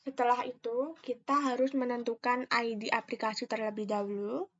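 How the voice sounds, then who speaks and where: A young adult woman, a student narrating a step-by-step software tutorial in a careful, halting, explanatory way, on a dull lo-fi recording. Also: a little high, flat delivery. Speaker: university student presenter.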